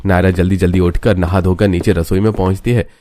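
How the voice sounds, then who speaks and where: Low-voiced male story narrator. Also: level tone, brisk, warm tone, a little hiss or hum. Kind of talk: scripted cartoon story narration.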